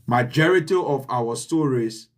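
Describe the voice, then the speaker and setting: Slow, earnest Liberian man. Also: medium pitch. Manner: earnest and deliberate, with repeated emphasis. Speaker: channel host. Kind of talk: motivational address.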